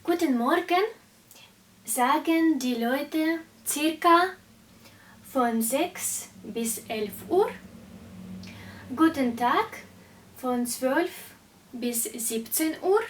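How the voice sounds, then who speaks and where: Slow high-voiced teacher. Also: female voice, expressive, distant mic, low-quality recording. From Russia. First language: Russian. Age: 20s. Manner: slow, carefully articulated teaching delivery. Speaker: German teacher. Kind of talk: beginner language lesson.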